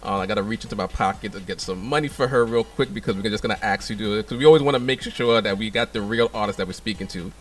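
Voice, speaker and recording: A man's voice: lowish voice, fast talker, hardly any pauses, distant mic. A middle-aged man hosting a radio show, interviewing a guest in a laid-back way with many fillers. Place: radio studio.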